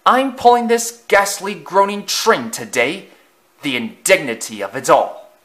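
A proud, snobbish storybook steam engine, put on by a man reading aloud. He hisses out his complaints with lofty indignation, his voice pitched up and pushed louder than the narration around it. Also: lively intonation, slow. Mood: disgusted. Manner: haughty, indignant hissing.

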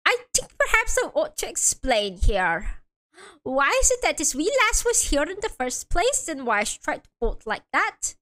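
A chatty old Irish coachman rambles through his testimony, as a woman puts him on. The Irish accent is a put-on one, folksy and lilting, pitched high with lively swings. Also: moderate pace. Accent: attempted Irish.

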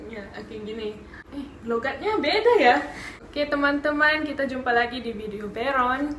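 Young woman guest from Singapore, high-pitched and bright, easygoing and giggly, roomy sound with faint hum. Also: moderate pace. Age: young adult. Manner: relaxed, brief answers, giggly. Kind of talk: casual chat. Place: small bedroom, indoors.

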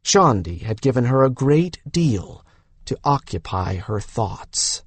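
A man's voice: medium pitch, big pitch swings, slow, slightly rough, no room sound. A man reading a fantasy novel aloud as a scripted audiobook narration.

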